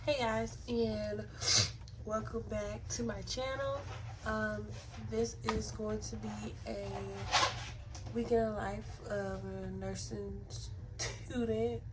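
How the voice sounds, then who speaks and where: A young adult woman, a nursing student, chatting casually to her viewers with a stuffy nose from a sinus infection, often pausing on ums and restarting. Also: a little high, fairly even intonation, loud music behind the voice, low-quality recording. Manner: unhurried, with frequent ums and restarts. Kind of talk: casual vlog talk to camera.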